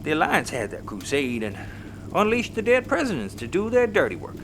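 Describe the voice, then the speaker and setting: An actor playing a folksy patriarch in an audio drama, telling his story in a dramatic, deliberate way. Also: fairly high pitch, wildly swooping pitch, fairly bright tone, slight background noise, heavily acted. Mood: grave, haunted. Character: folksy Texan patriarch haunted by wartime hardship. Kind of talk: audio comedy drama acting, storytelling monologue. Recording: music underneath.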